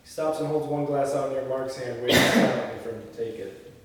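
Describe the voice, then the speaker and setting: A man's voice: mid-range voice, fairly quick, fairly bright tone. A man reading screenplay stage directions aloud at a table read, with informal asides. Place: indoor echoey room. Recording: very echoey room, distant mic, faint noise.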